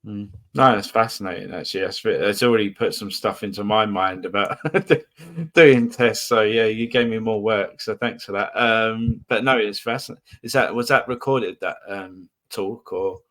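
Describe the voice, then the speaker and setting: Low-voiced male host, casual. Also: moderate pace, few pauses. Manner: relaxed, lots of fillers like "you know" and "um". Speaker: podcast host. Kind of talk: casual interview chat.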